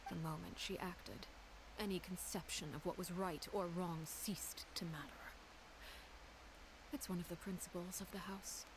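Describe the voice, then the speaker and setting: An actress voicing a ruthless, composed female house leader in a video game, speaking in a breathy, near-whispered, coldly formal way. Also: lowish voice, background noise. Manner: measured, calm, coldly formal. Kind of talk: game voice acting.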